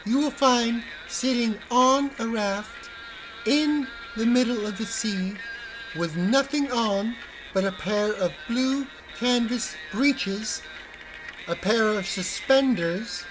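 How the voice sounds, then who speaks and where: A man voicing a small, shrewd, polite talking fish in a small squeaky storybook voice. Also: slow pace, over music, poor audio. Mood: sly, polite.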